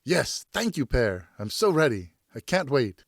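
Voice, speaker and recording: A man voicing an eager, polite young son who speaks with excited, earnest wonder. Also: mid-range voice, a little slow, creaky, no room sound, clean studio sound, voice pushed up, full character voice.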